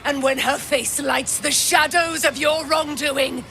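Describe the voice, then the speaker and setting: An indomitable holy warrior woman in a fantasy game. She declaims in grand, formal phrases at a slow, deliberate pace, with clear background ambience. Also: high voice, fairly even intonation, very noisy. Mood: triumphant fury. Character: proud, indomitable immortal warrior paladin of moon goddess. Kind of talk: dramatic video game acting.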